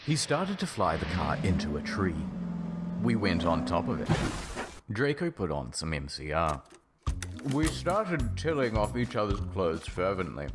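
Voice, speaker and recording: A man with a very low voice reads a story aloud in a playful, theatrical way. His delivery swings widely in pitch, and music plays clearly behind him. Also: sing-song, a little slow. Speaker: reaction video host.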